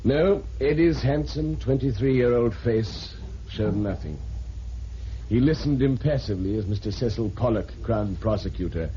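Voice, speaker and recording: An actor as a dark-voiced, worldly newspaperman. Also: medium pitch, animated, normal speed, slight background noise, natural voice. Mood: cool, dramatic. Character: worldly male court reporter narrating, cool. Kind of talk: scripted radio drama narration. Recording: muffled vintage radio recording.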